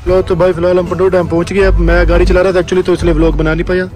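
A man's voice: high-pitched, rapid-fire. Chatty young man vlogging from a car. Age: young adult. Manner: chatty, excited, addresses viewers as brother. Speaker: travel vlogger. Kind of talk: casual travel vlog chat. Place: inside a moving car on mountain roads. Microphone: phone camera held in car. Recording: music mixed behind the voice. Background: background music.

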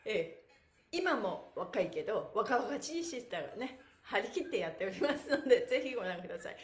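A woman's voice: on the high side, very animated, a little fast. A middle-aged woman hosting a livestreamed stretch class in a cheerful, exclamatory, chatty way. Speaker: stretch class host, pantomime performer. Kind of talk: livestreamed exercise lesson with chatty announcements. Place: small bare-walled room indoors. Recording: roomy indoor echo.

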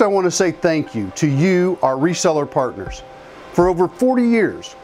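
An American man in his fifties giving a scripted corporate presentation as a company spokesman, in a measured, earnest way. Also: a little high, darkish tone. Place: small indoor equipment room. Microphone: lapel mic. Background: background music.

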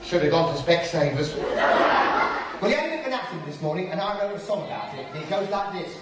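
High-pitched, brassy pantomime dame. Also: male voice, lively intonation, fast, heavy reverb. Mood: cheeky, gossipy. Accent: English. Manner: exaggerated, theatrical comic delivery. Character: cheeky, brassy, poor widowed pantomime dame. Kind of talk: British pantomime stand-up patter to an audience. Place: theatre stage, recorded from the audience. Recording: distant noisy stage recording with audience.

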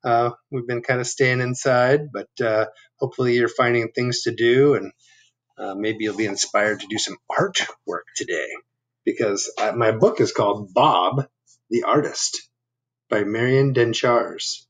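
A man in his fifties reading a picture book aloud to children, adding chatty asides and gentle questions. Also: medium pitch, unhurried, a little hiss or hum, low-quality recording. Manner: asking the listeners gentle questions. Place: living room at home. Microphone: laptop webcam at arm's length.

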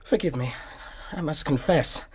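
An actor playing a formal, courteous, anxious doctor with a husky voice in a radio drama. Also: male voice, on the high side, moderate pace. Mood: shaken.

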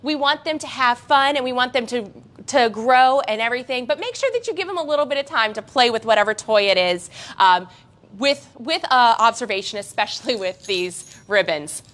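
A young woman teaching a parent and baby gym class in a coaxing, sing-song way, her voice swooping up high on playful words. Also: lively intonation, a little fast, fairly bright tone. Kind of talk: instructional class for parents and babies.

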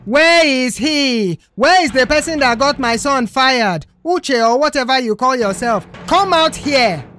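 A woman voicing a shrill, combative, aggrieved mother in an audio story. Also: lively intonation, measured pace, a bit bright, soft background music. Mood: furious.

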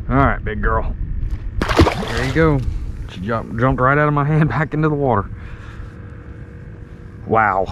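A man's voice: fairly low pitch, a bit bright, slight background noise. A man giving casual live fishing commentary to viewers, marveling and repeating his phrases. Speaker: fishing vlogger. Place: outdoors on a kayak on a creek.

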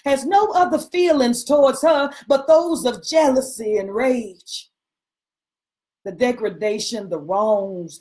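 Slow, solemn woman reading dramatically. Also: on the high side, darkish tone. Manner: solemn, impassioned, deliberate. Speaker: reader of historical literature. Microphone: video call.

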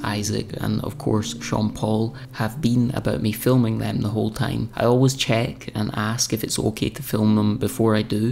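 A young man narrating his own renovation vlog in a relaxed, scripted voice-over with dry humour. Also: lowish voice, vocal fry. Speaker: renovation vlogger.

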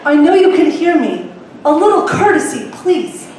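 A woman voicing a peevish, sharp-tongued young male captive in a story she reads aloud. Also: high-pitched, very animated, normal speed, slight background noise, voice pushed up. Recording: echoey room, distant mic.

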